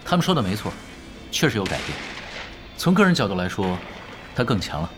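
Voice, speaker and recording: A man's voice: fairly low pitch, fairly quick. A man playing a laid-back young esports pro in an audio drama, explaining like an expert and teasing in a nonchalant way. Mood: calm, analytical. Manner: relaxed, nonchalant, analytical. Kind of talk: audio drama acting, conversational. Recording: music behind the voice.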